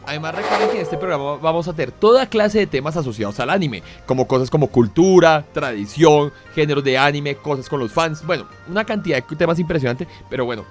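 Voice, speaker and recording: A man with an ordinary mid-pitched voice hosts, stopping to clarify and circling back with restarts as he talks. Faint music sits under his voice. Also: animated, fast talker. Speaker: host. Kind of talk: talk show hosting.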